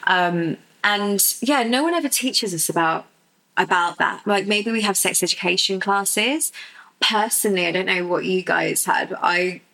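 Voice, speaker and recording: A woman who works as a sexuality coach, explaining softly and unhurriedly as a guest in a podcast interview, with many fillers. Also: medium pitch, moderate pace, vocal fry, rough recording.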